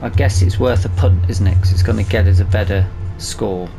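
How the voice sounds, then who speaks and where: A man's voice: fairly low pitch, a little fast, gravelly, over music, rough recording. A British man giving casual let's-play commentary, musing aloud to himself as he goes. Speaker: gaming video host.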